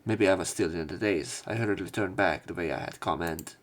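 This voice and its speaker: A man with a fairly low voice plays through a visual novel. He reads the story text aloud and mixes in casual commentary of his own. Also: brisk. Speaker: let's player.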